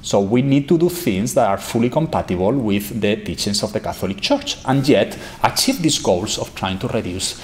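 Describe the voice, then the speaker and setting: A man's voice: lowish voice, normal speed, few pauses. A man, giving an academic conference talk at a podium microphone in a lecture hall, gesturing as he speaks. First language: Spanish. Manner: animated, gesturing, emphatic.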